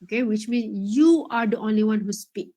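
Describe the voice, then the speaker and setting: A woman's voice: a little high, a little fast, mellow. A woman teaching an exam workshop in a chatty way, checking in often with "okay?" and "right?". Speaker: IELTS workshop instructor.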